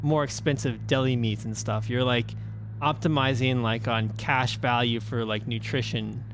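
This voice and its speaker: This is a man from Brazil, Portuguese his first language, chatting as co-host of a racing stream at a middle pitch. He rambles casually, leaning on "like" as he reads out comments. A faint hum sits under his voice. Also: steady tone, moderate pace. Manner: chatty, heavy on "like" fillers, reads chat aloud.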